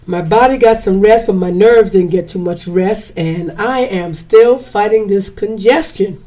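A woman's voice: medium pitch, a little slow, warm tone. Woman host, stuffy sinus voice, chatty, preacherly repetition. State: congested, slightly hoarse. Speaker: podcast host. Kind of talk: casual podcast intro.

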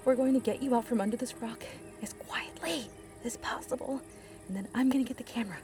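A woman's voice: on the high side, a little fast, music in the background, close to an everyday voice. An actress playing a determined, protective woman hiker in an audio drama, urgent, foul-mouthed and reassuring under pressure. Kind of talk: full-cast audio drama acting.

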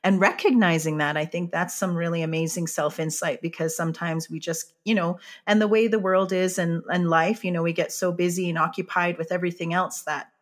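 A woman's voice: lowish voice, steady tone, a little fast, few pauses. A woman hosting an interview, affirming her guest and sharing her own experience in a casual chat. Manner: warm affirming, lots of backchannels. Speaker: podcast host.

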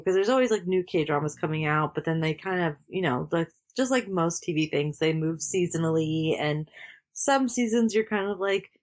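A woman co-hosting a laid-back podcast, her voice slightly low and a bit congested. She chats in an easygoing, relaxed way. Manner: relaxed, chatty, laughs easily. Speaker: podcast co-host. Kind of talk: casual chat.

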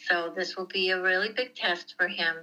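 A woman's voice: lowish voice, fairly even intonation, normal speed, bright, forceful tone, poor audio. A middle-aged woman speaking candidly and reflectively in a conversational interview, repeating words for emphasis. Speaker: interviewee, mother of the story's subject.

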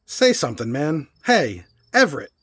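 A restless young rock musician acted by a man in a horror radio play. His voice is husky and swings animatedly, informal and insistent, recorded dry with faint music. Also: fairly high pitch, wildly swooping pitch, normal speed, a bit bright, dry recording, exaggerated voice. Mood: agitated, pleading. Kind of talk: horror audio drama acting.

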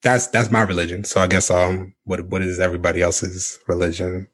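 A man hosting a casual group discussion, relaxed and full of fillers. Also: sits low, brisk, breathy edge, no echo. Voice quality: relaxed, filler-heavy, laughs often. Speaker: podcast host.

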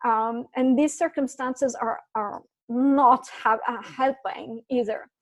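Guest, woman from Syria, high voice, animated storyteller. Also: slow. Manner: animated storyteller, many restarts. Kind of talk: casual interview chat.

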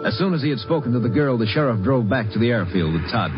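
A man's voice: medium pitch, animated, fast talker, natural voice. An American man narrating a 1950s radio crime drama in a scripted style. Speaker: narrator, announcer. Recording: dull vintage radio recording, loud music.

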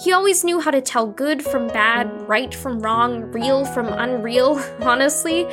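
High-voiced, troubled young patient. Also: female voice, measured pace. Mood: bitter, yearning. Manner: confessional, earnest, wry. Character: troubled, wistful young female psychiatric patient. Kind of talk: audio drama monologue. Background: music.

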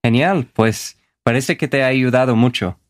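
Un hombre de Estados Unidos cuyo idioma nativo es el inglés, co-presentador de un podcast, que habla español de forma relajada y tranquila. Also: male voice, medium pitch, moderate pace, no room sound, clean studio sound. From United States. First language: English. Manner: relaxed, easygoing, sprinkles in Mexican slang. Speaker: podcast co-host. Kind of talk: casual chat.

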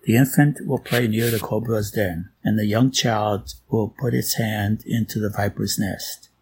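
A man reading a scripture passage aloud in a measured, solemn liturgical style. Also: fairly low pitch, steady tone, a little mellow, slight background noise, low-quality recording. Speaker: scripture reader.

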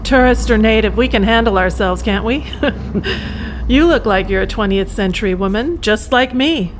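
A woman's voice: moderate pace, lightly acted, low-quality recording. A woman acting in an audio play as a chatty, familiar elderly bohemian painter. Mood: chatty, jovial. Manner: folksy, familiar, chuckling. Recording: clear vehicle rumble behind the voice. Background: bus ride ambience.

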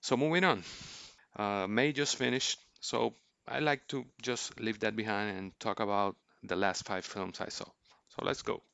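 A man gives a scripted film review as host, in a measured, earnest way. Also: lowish voice, gravelly, a bit bright, clean tone, no room sound, highs cut off. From Puerto Rico.

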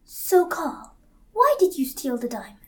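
A woman's voice: high-pitched, sing-song, unhurried, very noisy. A young woman playing a stern, sceptical police interrogator in an amateur audio drama.

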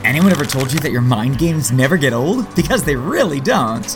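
A man's voice: medium pitch, lively intonation, brisk, over music, close to an everyday voice. A man playing a wisecracking, confident archer vigilante in a superhero audio drama, wry and quippy. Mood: sarcastic.

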